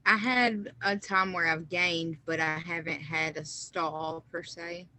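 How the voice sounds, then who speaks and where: Bright-voiced woman in her twenties. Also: lowish voice, steady tone, slow. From Kentucky. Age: 20s. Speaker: guest.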